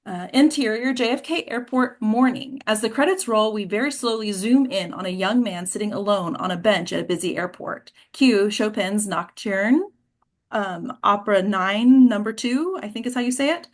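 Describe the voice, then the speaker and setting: Woman, medium pitch, table read host, screenplay stage-direction narration. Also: normal speed.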